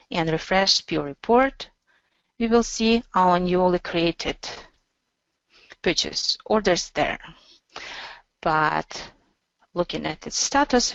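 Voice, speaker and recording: Woman presenting a software demo, dry muffled sound. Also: fairly low pitch, vocal fry, dry recording. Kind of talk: instructional software demo narration.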